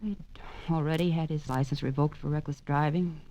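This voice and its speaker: A woman's voice: sits low, fairly even intonation, brisk, dark tone, natural voice. An American actress playing a cool, rueful woman in a 1940s radio noir drama, speaking with dry resignation. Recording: dull vintage radio recording.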